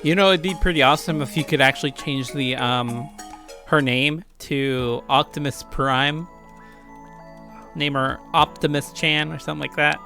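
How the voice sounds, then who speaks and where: A man's voice: a little high, fairly even intonation, moderate pace, vocal fry, pushed and bright, soft background music. A man giving casual, rambling let's play commentary with crude joking asides. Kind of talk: casual game commentary and read-aloud.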